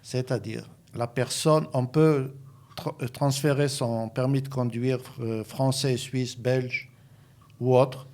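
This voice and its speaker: Older man with an Israeli accent, volunteer advisor; measured, hesitant explainer of a middle pitch. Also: steady tone, a little mellow. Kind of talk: interview answers.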